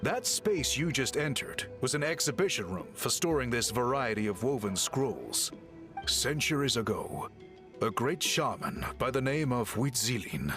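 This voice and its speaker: Learned male scroll scholar; formal, patient explanations with an animated lilt over soft game music. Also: mid-range voice. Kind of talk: game dialogue.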